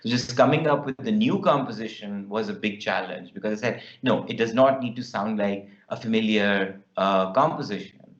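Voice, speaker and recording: Low-voiced Pakistani man, echoey dull call. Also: moderate pace, few pauses. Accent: Pakistani English. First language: Punjabi. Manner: reflective, rambling with many fillers. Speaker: film director. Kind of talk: festival Q&A answer. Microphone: video call.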